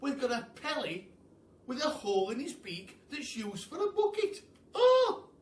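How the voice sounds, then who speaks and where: A man voicing an excitable, chattering monkey in a children's storybook, animated and exclaiming. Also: extremely high pitch, unhurried, slight background noise, voice pushed up, full character voice. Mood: worried. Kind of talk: children's storybook read aloud. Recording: echoey room, distant mic.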